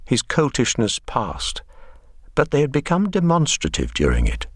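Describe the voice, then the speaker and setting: A man's voice: mid-range voice, huge pitch swings, normal speed, dry recording, clean studio sound. A man reading literary narration for a radio drama.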